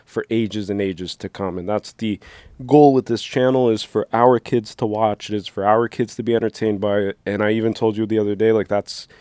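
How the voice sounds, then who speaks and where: A man's voice: fairly low pitch, steady tone, normal speed, a little mellow, clean tone, dry recording. A young man from New Jersey co-hosting a casual movie reaction channel, talking in a playful, rambling, teasing way. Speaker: co-host. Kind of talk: casual chat.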